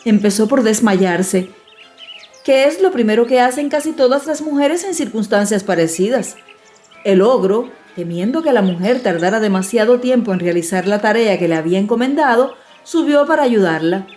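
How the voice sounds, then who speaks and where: A woman's voice: a little high, expressive, normal speed. A woman reading a classic fairy tale as an audiobook narrator, in scripted storytelling style. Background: soft music.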